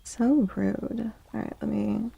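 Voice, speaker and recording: A soft-spoken woman hosting an ASMR livestream, chatting casually and unhurriedly with viewers, close to the microphone. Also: medium pitch, steady tone, slow, gravelly, slightly rough, highs cut off. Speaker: live streamer hosting ASMR tarot stream.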